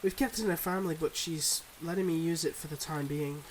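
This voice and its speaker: A suave, secretive mob boss played by a man talks to his partner in an intimate ASMR roleplay. His voice sits a little high, with a smooth, confiding, teasing manner. Light rain noise runs behind him. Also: steady tone, brisk, close to an everyday voice, poor audio. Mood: earnest, guarded. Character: suave, secretive young male mob boss, devoted online boyfriend.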